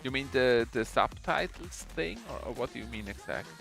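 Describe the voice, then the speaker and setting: Animated, creaky male esports co-caster. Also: mid-range voice, moderate pace. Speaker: esports co-commentator. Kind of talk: casual esports commentary banter. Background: background music.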